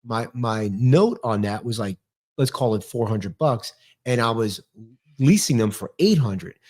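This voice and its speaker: Man, new york accent, fifties, high-energy and articulate, medium pitch, podcast guest. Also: expressive, unhurried, a little mellow. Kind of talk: casual interview chat.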